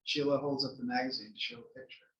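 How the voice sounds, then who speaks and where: A man's voice: mid-range voice, steady tone, quick pace, a bit bright. A man narrating stage directions at a play's table read. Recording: dull, echoey room, distant mic.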